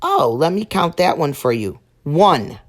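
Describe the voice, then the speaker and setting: A woman's voice: low voice, big pitch swings, a little slow, exaggerated voice. A woman voicing a chatty, self-pleased male squirrel in a storybook, talking in a boastful way. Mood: brisk, helpful.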